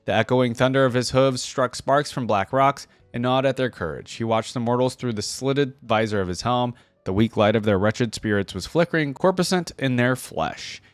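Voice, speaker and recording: A man hosting a reaction, moving between casual commentary and expressive reading of fantasy stories aloud. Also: mid-range voice, normal speed, few pauses, no echo. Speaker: online reaction host.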